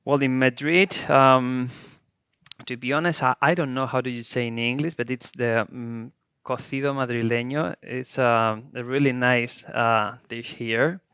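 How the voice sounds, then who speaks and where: A man's voice: medium pitch, steady tone, unhurried, pushed and bright, smooth, no echo, lo-fi sound. A middle-aged Spanish man with a Spanish accent, speaking English as a second language, hesitant with fillers and restarts as an interview guest. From Spain. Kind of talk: casual interview chat.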